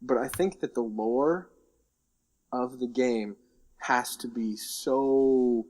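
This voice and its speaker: A man's voice: medium pitch, slow, darkish tone. A man co-hosting a casual podcast about games and films, talking informally and tentatively as he thinks aloud. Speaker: podcast co-host.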